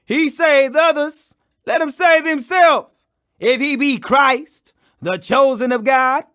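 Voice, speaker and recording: A man voicing scornful rulers and soldiers in a scripture audiobook, taunting loudly with drawn-out words. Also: very high voice, pitched above the performer's own voice, heavily acted. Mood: mocking. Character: mocking rulers and soldiers, jeering, scornful men.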